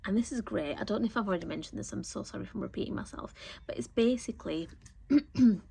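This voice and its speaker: A woman, a little croaky from a cold, chatting casually to camera about her sewing week, rambling with lots of ums. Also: medium pitch, lively intonation, fast, dark tone. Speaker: sewing vlogger.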